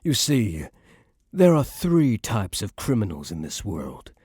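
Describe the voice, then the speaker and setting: A man playing a cocky young mafia heir who is a doting but menacing boyfriend, in an intimate ASMR roleplay with slow, smug tough-guy slang. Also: on the low side, very animated, a little mellow, slightly rough, no room sound, studio quality, full character voice. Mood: musing, confiding.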